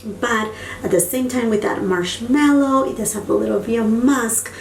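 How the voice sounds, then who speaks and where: A woman's voice: a little high, normal speed, gravelly, reverberant, recorded from a distance, slight background noise, rough recording. Gushing woman. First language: Spanish. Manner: enthusiastic, gushing, repeats words for emphasis. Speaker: beauty vlogger. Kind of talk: casual product review.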